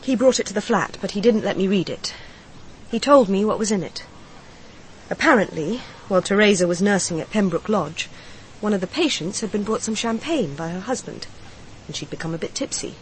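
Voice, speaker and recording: An actress playing a grieving mistress confiding in a detective in a radio crime drama, speaking in a measured, confessional way. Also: female voice, mid-range voice, animated, a little hiss or hum, lightly acted, rough recording.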